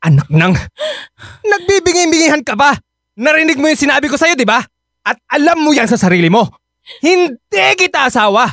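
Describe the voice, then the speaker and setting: An actor playing a hot-headed, manipulative husband who argues and wheedles in a radio drama. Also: male voice, very high voice, sing-song, brisk, fairly bright tone, no echo, studio quality, full character voice. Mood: defiant anger. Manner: argumentative, wheedling.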